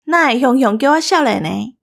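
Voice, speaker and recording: A woman with a Taiwanese accent chatting as a co-host in a scripted, teasing, lively way. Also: high-pitched, a little fast, gravelly. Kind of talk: scripted casual chat.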